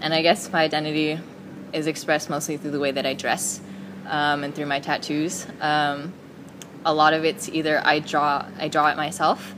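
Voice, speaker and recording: A young woman student speaks reflectively, often filling pauses with like. Her voice is low for a woman. Clear ambient noise with passing vehicles runs behind her. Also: little pitch movement. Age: young adult. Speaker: university student. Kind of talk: interview answer.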